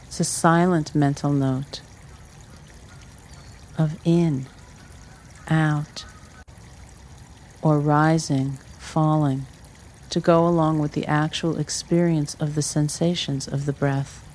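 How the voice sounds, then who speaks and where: A meditation teacher giving guided instruction in measured, short phrases with pauses between them. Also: mid-range voice, fairly even intonation, a little mellow, a little hiss or hum. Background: trickling water ambience.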